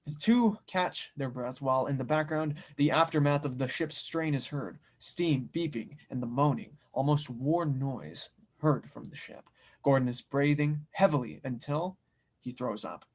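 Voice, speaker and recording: A man's voice: medium pitch, animated, unhurried. Man narrating an audio drama script. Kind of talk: reading script narration and stage directions.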